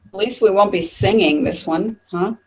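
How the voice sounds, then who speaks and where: An older woman with a raspy voice, chatting loosely as a co-host on an online video call, rambling with fillers and asides. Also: medium pitch, normal speed, crowd noise, low-quality recording. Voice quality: raspy from decades of smoking. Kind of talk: casual chat on a video call. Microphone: computer mic on a video call.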